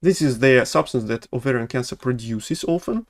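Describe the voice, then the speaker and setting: A man's voice: mid-range voice, moderate pace. A man who is a doctor, speaking English as a second language with a non-native accent, explaining medical treatments in a hesitant way with many fillers and restarts. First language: not English. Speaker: oncologist.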